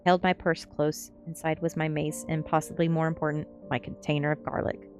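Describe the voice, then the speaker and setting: A woman's voice: sits low, flat delivery, fairly quick, faint music behind. A woman reading a novel aloud as narration on an ASMR stream, with the occasional slip.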